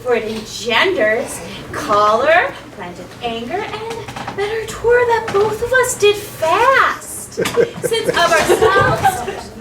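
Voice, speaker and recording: A woman playing a blustering, shouting lord. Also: very high voice, very slow, noisy background, exaggerated voice. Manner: shouting, laughing between lines. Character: blustering, bossy male lord shouting at servants. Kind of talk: amateur stage acting of verse. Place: stage before a live audience. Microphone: distant room mic. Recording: echoey, distant hall recording with loud crowd.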